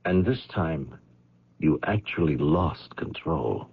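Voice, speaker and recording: A solemn, coaxing figure in an old radio drama. The voice is extremely low and husky, with measured, persuasive weight. It comes through a thin, phone-like vintage broadcast. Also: male voice, animated, exaggerated voice. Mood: grave. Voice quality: gravelly, husky. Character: grave, persuasive man coaxing a guilty woman to confess. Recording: muffled, narrow-band old radio broadcast.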